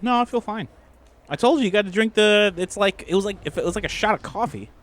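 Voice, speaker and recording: A man playing a tabletop game, chatting casually and joking in a playful, animated way. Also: high voice, quick pace.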